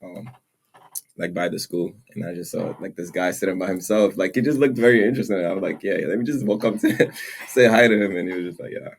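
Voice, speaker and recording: Deep gravelly young man, laid-back. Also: fast. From Nigeria. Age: young adult. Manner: laid-back, laughs often, many "like" fillers. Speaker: podcast co-host. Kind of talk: casual chat. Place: room, seated in chairs.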